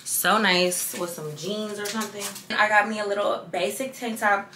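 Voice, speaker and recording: A young woman in her twenties chatting casually through a clothing haul, lively and loose, dipping into soft asides. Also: mid-range voice, moderate pace, roomy sound, background noise. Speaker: fashion vlogger. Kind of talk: casual try-on haul chat.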